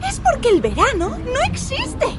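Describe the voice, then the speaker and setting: An actress with an accent from Spain playing an excitable, dramatic young aspiring pop singer in a comic radio drama. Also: female voice, very high voice, moderate pace, heavy background noise, full character voice. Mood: convinced. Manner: exclamatory, emotional, swings from whining to elated. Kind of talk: comic radio fiction acting. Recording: loud music and crowd behind.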